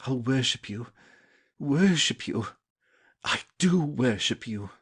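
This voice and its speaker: A man playing a lovesick, earnest young gentleman suitor in an audio stage play, polite, hesitant and pleading. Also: medium pitch, lively intonation, takes it slowly, slightly rough, exaggerated voice. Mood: ardent, pleading.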